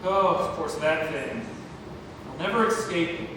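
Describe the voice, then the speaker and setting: A man at a play table read, playing an awkward, apologetic father who speaks haltingly. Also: on the high side, expressive. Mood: mildly exasperated. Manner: hesitant, halting. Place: large indoor theatre room, far microphone. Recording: distant, echoey hall, noisy room recording.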